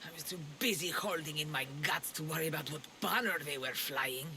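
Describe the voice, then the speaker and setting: A woman playing a battered, bitter sailor in a video game, speaking wearily and gruffly. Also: deep voice, fairly quick, pushed and bright, slight background noise. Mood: weary. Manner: weary, gruff. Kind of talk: video game cutscene acting.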